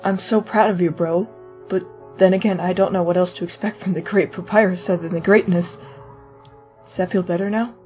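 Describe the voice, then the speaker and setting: Woman as protective teen skeleton big bro, lowish casual voice, tender, fan dub, bit lofi with music. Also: fairly even intonation, brisk, darkish tone, close to an everyday voice. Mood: tender, proud. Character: protective teenage skeleton boy, wisecracking big brother. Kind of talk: fan comic dub, narration and dialogue. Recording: muffled lo-fi audio over music.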